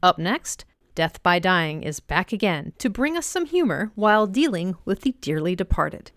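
Woman podcast host, lively delivery, dead-dry booth sound. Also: on the low side, moderate pace, dry recording. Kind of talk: scripted show links.

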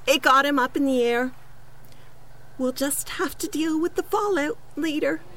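A slightly high voice as a superhero's scheming girlfriend. She confides in a deadpan, self-justifying monologue for a comic audio play. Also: unhurried, slight background noise. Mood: unrepentant confession. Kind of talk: confessional monologue in comedic audio drama.

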